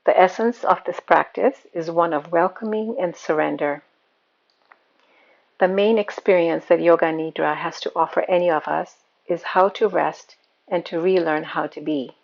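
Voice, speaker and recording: A woman guiding a meditation as a yoga nidra teacher, speaking in a calm, soothing, unhurried manner. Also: fairly low pitch, vocal fry, dull audio. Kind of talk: guided meditation.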